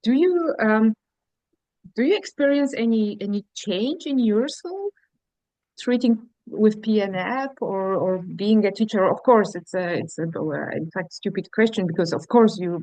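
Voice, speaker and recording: A woman's voice: mid-range voice, lively intonation, unhurried, a little mellow, dry recording, rough recording. A woman interviewing a guest, encouraging her with frequent murmurs and asking open questions. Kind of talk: conversational interview.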